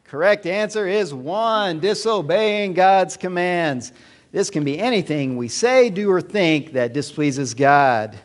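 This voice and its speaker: A man playing an upbeat children's Bible quiz show host, announcing clearly in a teacherly way. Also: high-pitched, animated, takes it slowly. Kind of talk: lively game show hosting.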